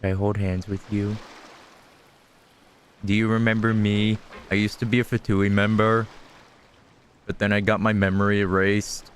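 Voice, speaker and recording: A man's voice: fairly low pitch, little pitch movement, normal speed, long pauses, slight background noise. A young man giving casual, laid-back gaming commentary with joking, profane asides. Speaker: streamer.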